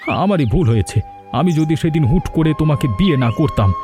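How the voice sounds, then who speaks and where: An actor playing a lazy young village husband in a cartoon, whiny and stumbling over words. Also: male voice, a little high, animated, a little fast, warm tone, soft background music, close to an everyday voice. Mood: irritated, whiny. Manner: stammering, repeats words when flustered. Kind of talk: Bengali cartoon dialogue.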